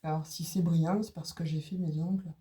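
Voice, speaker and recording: A woman's voice: sits low, fairly even intonation, fairly quick, mellow, slight background noise. A woman with a cold doing an oracle card reading for her viewers, talking casually with many hesitations and restarts. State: has a cold. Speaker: tarot and oracle card reader.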